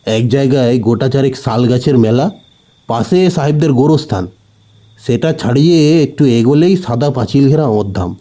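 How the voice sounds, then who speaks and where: A man's voice: medium pitch, expressive, moderate pace, mellow, natural voice. A man voicing a plain-spoken village grocer who is wary but helpful, in a horror audio story. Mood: helpful, matter-of-fact.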